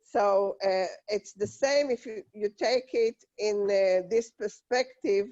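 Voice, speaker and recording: A woman with a Hebrew accent speaking on an online panel, slow and halting with many fillers and restarts. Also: medium pitch, warm tone, no room sound. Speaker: conference panelist. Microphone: video call. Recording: dull lo-fi video call audio.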